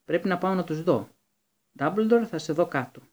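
A man's voice: sits high, expressive, a little mellow, pitched above the performer's own voice. A man voicing a pompous, patronising male government minister who brushes off the children. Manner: condescending, placating.

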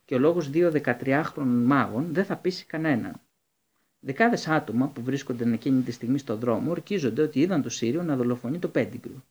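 A man voicing a calm, firm old headmaster who speaks with measured authority. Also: medium pitch, breathy edge, lo-fi sound.

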